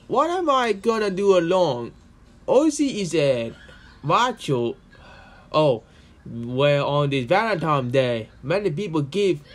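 A man puts on a small, earnest cartoon hedgehog who muses aloud and exclaims. The voice is high and swings widely in pitch, with unhurried, affectionate delivery. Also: heavily acted. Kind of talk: amateur fan comic dub.

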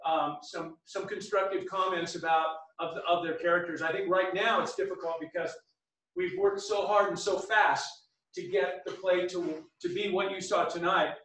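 High, bright-voiced man in echoey theatre. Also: fairly even intonation, normal speed. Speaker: play director. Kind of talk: informal remarks at a post-show talkback. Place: small theatre stage. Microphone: distant camera. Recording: echoey theatre stage from far off.

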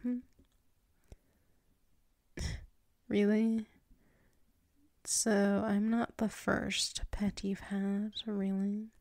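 A woman playing a flustered, submissive tsundere werewolf in an intimate ASMR roleplay, halting and murmuring, often slipping into whispers close to the mic. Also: mid-range voice, flat delivery, darkish tone, no room sound. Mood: musing, teasing. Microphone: close microphone.

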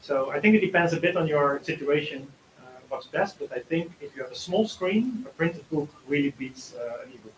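A man answering questions as an author and teacher in a book discussion, often pausing mid-sentence. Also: fairly high pitch, expressive. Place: room with an audience, on a video call. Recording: roomy, distant mic with faint hiss.

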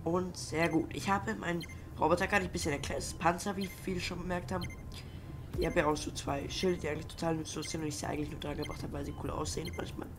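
A man's voice: a little high, fairly even intonation, quick pace, background noise. A young man with a cold, rambling in casual let's-play commentary with many fillers and restarts. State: has a cold. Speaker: gaming YouTuber.

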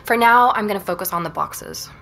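An actress playing an earnest, determined young woman investigator in an audio drama, speaking in a measured, reflective way. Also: medium pitch, expressive, bright, forceful tone, slight background noise. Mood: thoughtful, weary. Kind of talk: audio drama, conversation and first-person narration.

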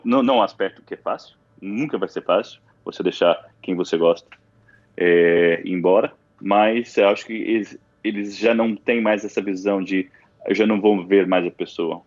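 A man's voice: deep voice, no echo, highs cut off. A man with a Brazilian Portuguese accent, an interview guest talking casually, rambling with restarts and fillers. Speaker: interview guest, university alumnus.

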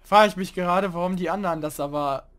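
A young man hosting a casual let's play, chatting and grumbling loudly about teammates as he plays. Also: sits high, quick pace. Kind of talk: casual gaming commentary.

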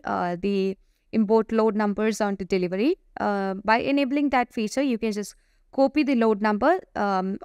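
Female software demo presenter, smooth and clear, improvised halting walkthrough, dry close recording. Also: medium pitch, dry recording.

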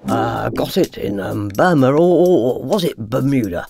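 Woman putting on a low, stammering old cabinet. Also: animated, measured pace, creaky, warm tone, faint music behind, exaggerated voice. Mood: boastful, muddled. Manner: hesitant, stammering, blustering. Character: boastful, flustered old male wooden cabinet.

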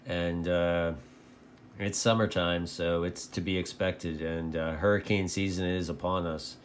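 A man vlogging casually about his hobby in a folksy, halting, repetitive way. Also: sits low, little pitch movement. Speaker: video host, radio hobbyist. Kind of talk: casual rambling vlog talk. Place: indoor home radio shack. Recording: clearly noisy home recording.

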